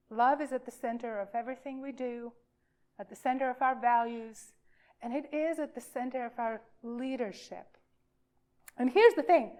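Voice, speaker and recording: A woman minister giving an informal sermon for all ages, speaking in a halting, emphatic way with deliberate pauses. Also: sits high, darkish tone.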